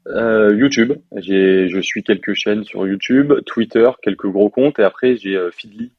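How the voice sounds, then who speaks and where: A young man from France in his twenties talks shop as an interview guest, relaxed and chatty, full of fillers and slang. His voice sits low. The recording is dry and a bit dull, with little room sound. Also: a little slow, dry recording. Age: 20s. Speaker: software developer guest. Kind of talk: casual interview chat.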